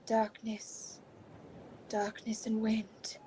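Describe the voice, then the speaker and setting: An actress in a radio play voicing a fragile, eerie young woman speaking slowly from a trance, her breathy voice drifting toward whispers. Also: fairly high pitch, monotone, dark tone. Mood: entranced, eerie.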